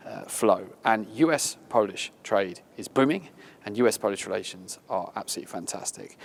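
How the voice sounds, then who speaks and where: A man hosting an interview show, chatty and jokey, with quick asides. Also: lowish voice, a little hiss or hum. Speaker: show host.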